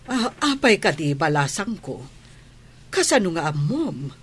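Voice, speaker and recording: An actress with an Ilocano accent playing a protective, sharp-tongued mother in a radio drama, blunt and questioning. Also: on the low side, sing-song, a little slow, husky, full character voice. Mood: curious.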